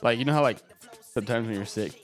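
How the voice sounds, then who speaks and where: A man's voice: medium pitch, fast. A man streaming live, a little congested and stuffy, chatting casually and joking with lots of profanity. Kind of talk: casual livestream chat. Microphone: desk microphone. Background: faint music.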